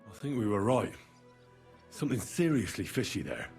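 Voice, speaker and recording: A man's voice: lowish voice, very animated, normal speed, a little mellow, breathy edge, soft background music, full character voice. A man voicing an earnest, determined young investigator in a medieval video game cutscene, talking plainly and conversationally. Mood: convinced.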